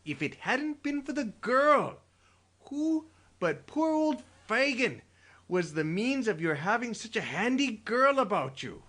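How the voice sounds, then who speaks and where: A woman's voice: a little high, very animated, unhurried, fairly bright tone, pitched above the performer's own voice, exaggerated voice. A woman voicing a sly, submissive old male fence who coaxes and pleads. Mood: coaxing. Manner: coaxing, pleading. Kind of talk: audiobook character voice.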